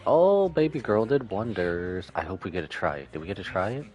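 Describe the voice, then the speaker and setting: A man's voice: on the low side, animated, brisk, a little mellow, muffled recording. A man streaming a game, giving casual live commentary and reading along. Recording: game music faintly behind the voice.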